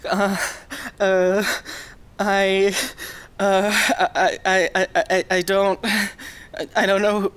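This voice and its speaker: A woman's voice: sits high, measured pace, a bit bright. An actress playing a frightened, self-critical young woman who stammers and panics as she records herself. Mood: flustered. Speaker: audio drama character recording herself. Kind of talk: audio drama acting.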